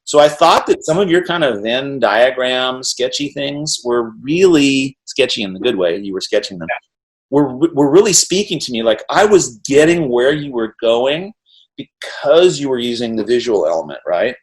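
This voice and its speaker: A middle-aged man hosting an interview over a video call, talking in an energetic, rambling way and often tagging on "right?". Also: medium pitch, normal speed, poor audio. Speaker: interview host. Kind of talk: animated casual conversation.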